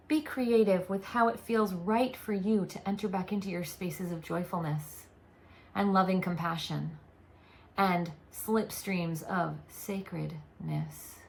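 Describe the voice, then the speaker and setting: A woman delivering channeled spiritual guidance in a calm, unhurried way. She speaks as a collective "we", and her voice carries a noticeable gravelly fry. Her pitch sits in an ordinary middle range for a woman. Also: a little hiss or hum. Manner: slow, deliberate, soothing delivery.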